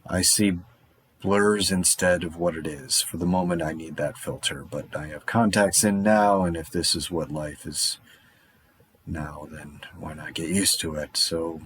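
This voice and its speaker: A man as a wry, low-voiced apocalypse survivor. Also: fairly even intonation, unhurried, fairly bright tone. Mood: musing. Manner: rambling, darkly wry monologue. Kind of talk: audio drama monologue.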